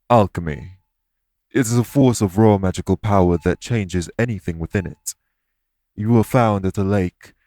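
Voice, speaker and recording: A man voicing a calm, courteous winged elf in an audio roleplay, speaking formally and patiently to reassure the listener. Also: low-pitched, dry recording.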